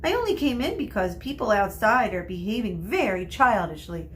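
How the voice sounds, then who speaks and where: A woman's voice: mid-range voice, very animated, moderate pace, slight background noise, voice pushed up, natural voice. A woman voicing a bossy schoolgirl in a storybook, prim and scolding, with a sniffy tone.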